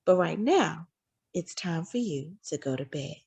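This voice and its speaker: A woman voicing a loving, hopeful mother who talks gently and expressively to her young son in a storybook. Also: low voice, expressive, unhurried, husky, full character voice. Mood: proud, tender. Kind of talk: storybook character voice.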